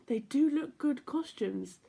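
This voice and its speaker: A woman voicing a kindly, encouraging old teddy bear in a storybook, gentle and expressive. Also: sits high, takes it slowly, vocal fry, pitched above the performer's own voice, full character voice. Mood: patient.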